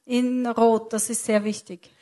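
Female sermon interpreter, clearly high-pitched voice. Live, phrase-by-phrase delivery, occasional self-corrections. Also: flat delivery, measured pace, warm tone.

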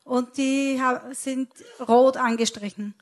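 Female sermon interpreter, clearly high-pitched voice. Live, phrase-by-phrase delivery, occasional self-corrections. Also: steady tone, slow pace.

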